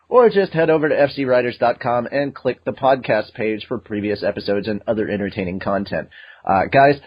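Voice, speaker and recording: A man hosting a fan podcast in casual chat, joking and excitable while reading an ad loosely. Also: mid-range voice. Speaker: podcast host. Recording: lo-fi dull podcast audio.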